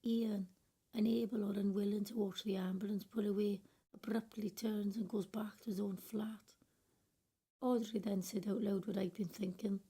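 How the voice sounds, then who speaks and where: A woman's voice: mid-range voice, flat delivery, moderate pace, warm tone, slightly rough. A woman playing a judgmental but conscientious care worker, reflecting bitterly in a radio play monologue. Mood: weary, conflicted.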